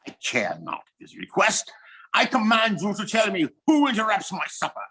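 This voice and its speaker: A man's voice: high voice, huge pitch swings, normal speed, fairly bright tone, slightly rough, full character voice. A man playing a doting, self-important king in a period audio drama, speaking in flowery, courtly phrases. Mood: imperious. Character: doting, self-important French king, adult, mother-obedient.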